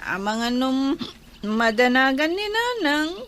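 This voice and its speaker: Casting: playful young woman friend, Ilocano accent, high-pitched, extremely drawn-out delivery, radio drama with noisy track. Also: big pitch swings, fairly bright tone, full character voice. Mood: tipsy, hesitant. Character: teasing young female friend at a drinking party.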